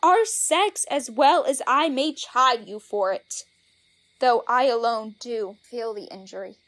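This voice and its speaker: A woman's voice: on the high side, slow, a bit bright. An actress playing a spurned, indignant young woman in a Shakespearean audio drama, declaiming verse theatrically. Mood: reproachful.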